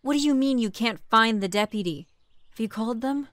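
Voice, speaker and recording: A woman's voice: fairly high pitch, expressive, a little fast, close to an everyday voice. A woman playing a commanding, sardonic chief detective in a scripted audio roleplay, brusque and relentless. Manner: brusque, commanding, swearing interrogator. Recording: clear background noise.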